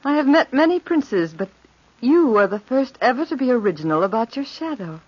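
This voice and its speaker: Husky, sweeping, imperious countess. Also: female voice, on the high side, very animated. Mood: amused, commanding. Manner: regal, measured, theatrical. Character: imperious noble countess, gracious, coolly playful. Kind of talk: old-time radio drama acting. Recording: dull vintage radio recording.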